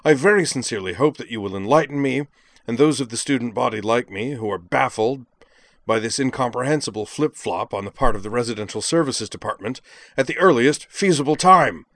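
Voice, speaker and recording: A man's voice: on the low side, expressive, normal speed, gravelly, a bit bright, dry recording, pitched above the performer's own voice, heavily acted. A man voicing an indignant young alien student who declaims in a pompous, wordy, theatrical way, in an audiobook-style story. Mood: indignant, declamatory.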